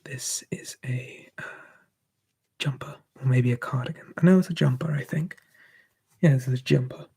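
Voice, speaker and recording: An ASMR streamer, softly whispering and musing while answering live chat on a close mic. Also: mid-range voice, moderate pace, vocal fry, husky. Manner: soft, drifting between whisper and quiet voice, musing.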